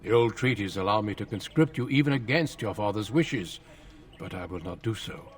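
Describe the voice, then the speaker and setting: An actor playing a grave, courteous warrior recruiter in a fantasy game, speaking formally and solemnly. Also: male voice, lowish voice, animated, normal speed, recorded from a distance. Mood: measured.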